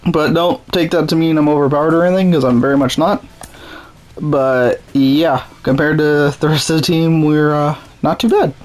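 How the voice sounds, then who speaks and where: A man's voice: a little high, steady tone, moderate pace, a little mellow. A young man with a sore, strained throat, casually commenting on his own baseball game. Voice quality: voice strained and rough. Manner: laid-back, self-deprecating. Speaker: gaming YouTuber. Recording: noisy home recording.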